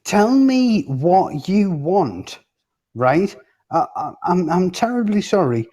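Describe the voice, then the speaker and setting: A man's voice: high-pitched, sing-song, takes it slowly, warm tone. A man in a heated casual debate, speaking insistently, cutting in and swearing freely.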